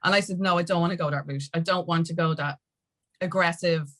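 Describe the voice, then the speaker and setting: Emphatic Irish woman, lowish voice. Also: fairly even intonation, a little fast, a bit bright. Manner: emphatic, rambling storytelling with acted-out quotes. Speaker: guest, music industry campaigner. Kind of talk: candid conversational interview.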